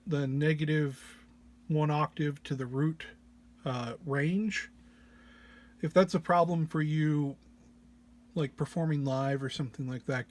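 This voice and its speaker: A man who sounds under the weather gives an informal tutorial walk-through in a low-energy, hesitant, halting way. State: under the weather, often clearing throat. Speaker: presenter of a music effects patch walk-through.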